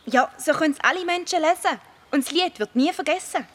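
A woman's voice: high-pitched, a little fast, fairly bright tone. A woman playing a curious, dreamy schoolgirl in a children's radio play, with a Swiss German accent and a questioning manner. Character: curious, dreamy schoolgirl, earnest and a little naive.

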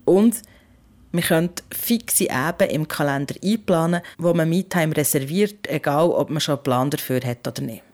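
A woman's voice: low-pitched, normal speed, a little mellow, a little hiss or hum. A woman with a Swiss German accent hosting a podcast about parenting in a candid, colloquial, conversational way.

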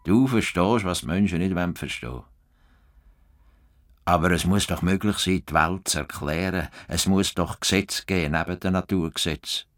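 A man's voice: low-pitched, moderate pace, natural voice. A man acting a stubborn, idealistic, cantankerous farmer with a Swiss German accent in a radio play. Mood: musing, low. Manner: blunt, swearing, grumbling.

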